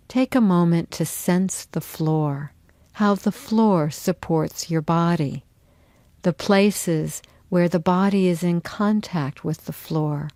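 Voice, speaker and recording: A woman teacher leading a guided yoga relaxation in a soothing, unhurried, instructional manner. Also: fairly low pitch, darkish tone, no room sound.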